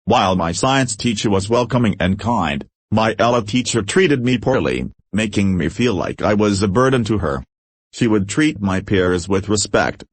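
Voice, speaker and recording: A man reading an online post aloud in steady scripted narration. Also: fairly low pitch, no room sound. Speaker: story reader.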